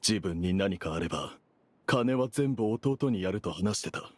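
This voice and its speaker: Low, husky, gruff schemer. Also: male voice, animated, unhurried, dry recording. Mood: suspicious. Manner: gruff, terse casual speech. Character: gruff, guarded younger brother in shady perfume business. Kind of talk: Japanese anime game dubbing.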